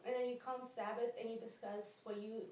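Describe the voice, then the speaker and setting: A woman's voice: on the high side, level tone, fairly quick, a little mellow, slight background noise. Hesitant woman, echoey distant room. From Costa Rica. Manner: hesitant, many ums. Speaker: job applicant. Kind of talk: mock job interview.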